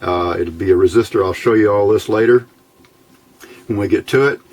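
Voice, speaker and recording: Low-voiced man, folksy hobby tutorial. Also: normal speed, a little mellow. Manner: folksy, plainspoken, thinking aloud. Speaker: electronics hobbyist presenter. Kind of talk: informal hands-on build tutorial.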